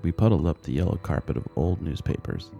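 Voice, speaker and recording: A man's voice: low voice, fairly quick, vocal fry, dark tone, muffled recording, soft background music. A man reading a short story aloud as scripted audiobook narration.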